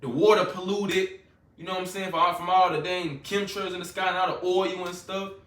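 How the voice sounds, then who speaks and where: High, bright-voiced fervent preacher man. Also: fairly even intonation, fairly quick. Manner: fervent, repetitive, loose colloquial preaching. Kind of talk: scripture-reading sermon. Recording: echoey room, voice from a distance.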